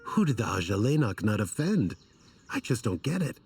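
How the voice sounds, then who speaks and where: An actor playing an earnest, troubled and apologetic desert scholar in a video game, wordy and theatrical in manner. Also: male voice, on the low side, very animated, moderate pace, distant mic, a little hiss or hum. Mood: exasperated. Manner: wordy, self-conscious, theatrical.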